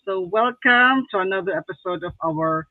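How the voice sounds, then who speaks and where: A man's voice: sits high, moderate pace, dry recording. A man from the Philippines hosting a live online show, talking hesitantly with many fillers. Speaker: host. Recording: tinny phone-like line.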